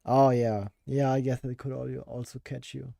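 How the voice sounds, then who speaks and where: A man streaming his game development, hoarse with a worn-out voice, thinking aloud, answering chat and laughing at himself. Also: medium pitch, level tone, normal speed, mellow, dry recording, studio quality. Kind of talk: casual live-stream chat while coding.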